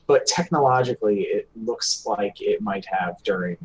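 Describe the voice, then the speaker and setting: A man talking casually as a podcast co-host, in a halting, hesitant delivery. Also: on the low side, slight background noise, poor audio. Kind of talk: casual hobby podcast chat.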